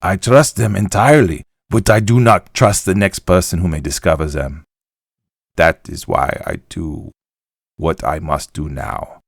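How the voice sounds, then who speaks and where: An actor playing a weary, haunted old puppet maker, reading his journal in a grave, deliberate, theatrical way for a game audio log. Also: low voice, creaky, dry recording, clean studio sound, exaggerated voice. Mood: resigned, reflective. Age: older.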